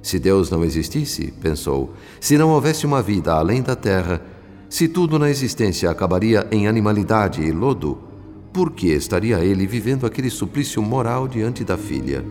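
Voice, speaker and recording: A man with a Brazilian accent playing a solemn, compassionate narrator, telling a radio drama in measured literary phrases. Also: lowish voice, big pitch swings, a little mellow. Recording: long reverb with music behind. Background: music.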